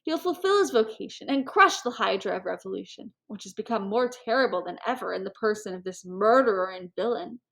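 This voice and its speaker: A woman voicing an impulsive society hostess of about forty, animated and theatrical, in a storybook reading. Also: medium pitch, fairly quick, creaky, hardly any pauses. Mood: impassioned. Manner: animated, impetuous, declamatory.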